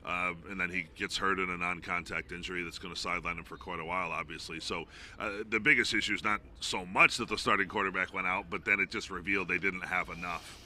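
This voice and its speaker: Very deep, bright-voiced male sports announcer. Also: fairly even intonation, quick pace, hardly any pauses, slight background noise. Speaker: sports play-by-play announcer, interview guest. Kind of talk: conversational sports analysis.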